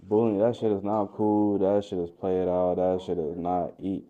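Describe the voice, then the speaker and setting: A man's voice: low-pitched, little pitch movement, normal speed. A young man as a podcast guest, talking in a reflective, laid-back way and often saying "you know what I'm saying". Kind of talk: casual interview chat. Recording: dull lo-fi sound.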